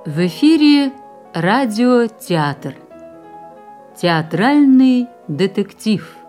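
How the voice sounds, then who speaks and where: Slow, formal female announcer, faint music under. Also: on the high side, very animated, a little mellow. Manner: measured, declamatory. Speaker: radio announcer.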